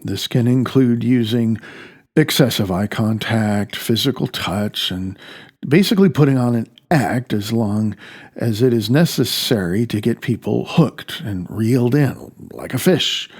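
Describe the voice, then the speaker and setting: Gravelly man narrating an audiobook. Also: mid-range voice, a little mellow. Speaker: author reading own audiobook.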